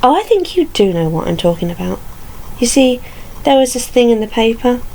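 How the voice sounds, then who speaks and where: A woman performing an ASMR roleplay as a vengeful, taunting vampire hunter, telling her tale deliberately with menacing pauses. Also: medium pitch, darkish tone, slight background noise, low-quality recording.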